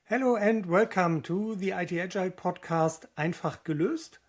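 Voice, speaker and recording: A man's voice: high voice, moderate pace, music in the background. A man hosting a podcast, introducing and questioning his guest in a polite, hesitant way with many fillers. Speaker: podcast host. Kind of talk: interview hosting.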